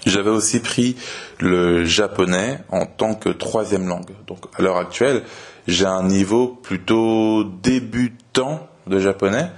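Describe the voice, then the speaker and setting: Podcast host, man in his twenties from France, very deep voice, calm and hesitant, speaks slowly for learners. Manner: relaxed, steady, with frequent hesitations. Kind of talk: slow clear podcast talk for learners.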